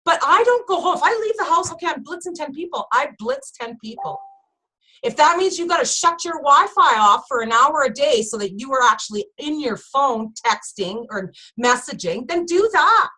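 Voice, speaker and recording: A woman leading her sales team in a casual motivational chat, emphatic and animated, often turning to the listeners directly. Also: high voice, normal speed, rough recording. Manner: emphatic, animated, keeps addressing listeners as "you guys".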